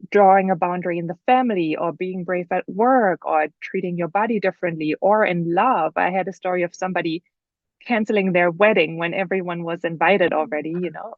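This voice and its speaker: A woman's voice: lowish voice, no echo, narrow, tinny audio. A woman with a German accent, a guest author telling her story in a casual podcast interview, earnest and thoughtful with many fillers.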